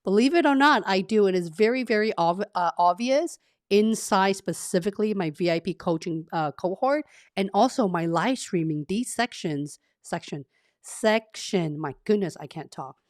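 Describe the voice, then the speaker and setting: A woman from Vietnam, talking as a career coach to her live-stream audience in an energetic, effusive way with frequent restarts. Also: medium pitch, moderate pace, no echo, clean studio sound.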